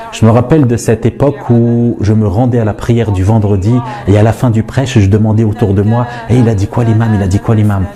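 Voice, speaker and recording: Mellow-voiced French-born teacher. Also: male voice, mid-range voice. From France. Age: 40s. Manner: chatty, self-mocking, earnest. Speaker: Arabic teacher and institute director. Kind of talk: casual self-introduction.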